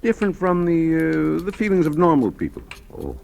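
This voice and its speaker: A man's voice: on the high side, huge pitch swings, a little fast, darkish tone. An older man acting a folksy, patronizing guardian in a 1940s radio drama, hesitant and evasive with a hint of menace. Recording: vintage 1940s radio recording, faint music.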